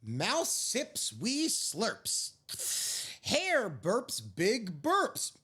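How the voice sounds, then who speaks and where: A woman reading a picture book aloud to young children, expressively, with drawn-out dramatic phrasing and friendly asides. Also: on the high side, wildly swooping pitch, fairly bright tone, breathy edge. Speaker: children's storyteller.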